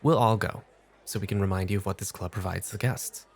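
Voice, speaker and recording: A man acting as a cool male club host in an ASMR roleplay. His fairly low voice crackles with fry as he teases drily, firm and never rushed. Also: steady tone. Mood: instructive. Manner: firm, dry, measured teasing.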